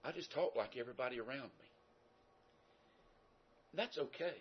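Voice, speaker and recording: A man's voice: medium pitch, lively intonation, normal speed, slight background noise. A man with a Southern American accent preaching a sermon in a folksy, conversational way with emphatic rises. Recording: dull lo-fi sound.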